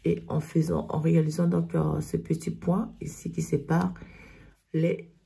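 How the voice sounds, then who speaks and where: A woman with a cold, hoarse, slowly explaining knitting stitches step by step in a tutorial. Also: sits low, level tone, mellow. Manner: slow, step-by-step instructions.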